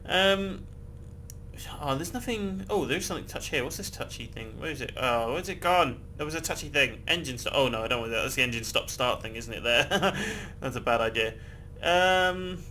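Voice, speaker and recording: A man's voice: medium pitch, lively intonation, moderate pace, sharp tone, slight background noise. A man casually chatting and rambling while he plays a game, swearing freely and laughing often. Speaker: gameplay video host. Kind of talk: casual gameplay commentary.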